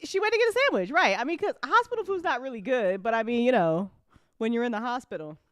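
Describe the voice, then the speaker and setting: A woman's voice: fairly high pitch, very animated, fast talker, a bit bright, dry recording, clean studio sound. A woman hosting a live after show in casual chat, informal and repeating phrases for emphasis. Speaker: host of an audio drama after show. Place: close indoor mic on a live stream.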